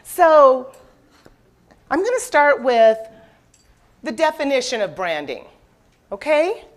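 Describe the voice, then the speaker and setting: An American woman from Colorado giving an informal, animated business presentation on stage, engaging her listeners with questions and stories. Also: fairly high pitch. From Denver, Colorado, United States. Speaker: motivational business speaker, branding expert. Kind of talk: live presentation with storytelling. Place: live event stage with an audience.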